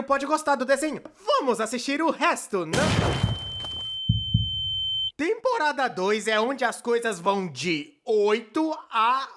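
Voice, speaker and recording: A narrator with a Brazilian accent gives scripted cartoon commentary in an expressive, conversational, comically emphatic way. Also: mid-range voice. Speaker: narrator of a cartoon opinion video. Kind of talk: scripted animated commentary video narration, fan dub.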